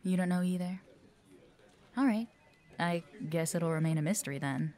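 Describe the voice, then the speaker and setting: A woman's voice: fairly low pitch, moderate pace, mellow, lightly acted. A woman playing a friendly, chatty, curious office worker in an audio roleplay. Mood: caring, curious. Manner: chatty, supportive.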